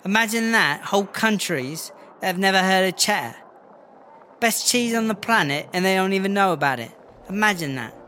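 Man as brash high-voiced English jailbird woman. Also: expressive, normal speed, pushed and bright, a little hiss or hum. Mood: indignant. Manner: blustering, chatty, slangy. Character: brash, foul-mouthed English female prison inmate and rapper. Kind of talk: mockumentary interview in comedy audio drama. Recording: music under the voice.

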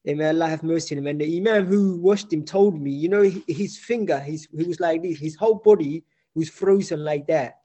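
Chatty male host with a high voice, reflective, laughs easily, keeps affirming. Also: brisk, dark tone. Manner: chatty, reflective, laughs often, frequent restarts; affirms constantly with short yeahs. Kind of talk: casual conversation.

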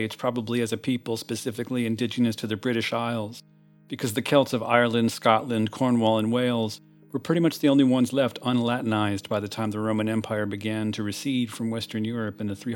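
A man hosting with scripted essay narration in a measured, erudite, slightly wry manner. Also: mid-range voice. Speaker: host. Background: plucked guitar music.

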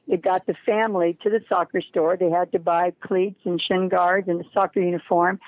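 An American woman with a slightly low voice is interviewed over a thin, phone-like line. She explains her program emphatically, repeating words to drive them home. The sound is close and dry, with little room to it. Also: dry recording. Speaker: guest, head of a horse-riding charity.

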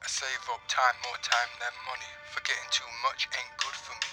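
A yearning young man delivers love verse as spoken word over clear music. His intonation stays flat and even, in an audio-drama setting. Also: medium pitch, moderate pace. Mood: yearning, measured. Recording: music under the voice.

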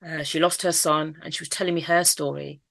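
A woman's voice: deep voice, fairly even intonation, fairly quick, vocal fry, fairly bright tone, no echo. A woman with a Mancunian accent, telling her life story candidly in an interview, repeating phrases as she goes. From Manchester, England. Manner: candid storytelling, frequent repeats, occasional laughs. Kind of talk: personal life story in conversation.

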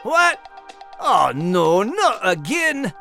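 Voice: medium pitch, big pitch swings, extremely slow, gravelly, bright, forceful tone, soft background music, heavily acted. An actor voicing a grumbling, cheeky male Scottie dog with a Scottish accent and a blustery manner. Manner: blustery, protesting, folksy. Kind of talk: scripted character acting for children's podcast.

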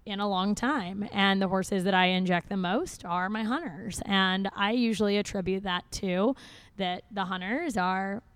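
A woman's voice: medium pitch, measured pace, a bit bright, clear, resonant tone. A woman veterinarian answering a question on a live panel, conversational and confident.

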